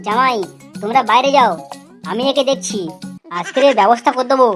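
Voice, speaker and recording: Woman playing very high-pitched bawdy cartoon mother-in-law. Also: brisk, fairly bright tone, natural voice. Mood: suggestive, bossy. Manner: scolding, suggestive. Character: nosy, bawdy middle-aged village mother-in-law. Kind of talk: Bengali comedy cartoon dialogue. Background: background music.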